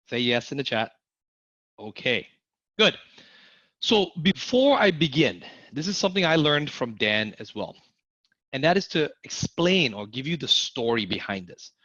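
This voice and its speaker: A man's voice: mid-range voice, no echo, dull audio. A man teaching a live online sales class, energetically asking viewers to answer in the chat. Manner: energetic, asks audience to type in the chat. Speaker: sales course instructor.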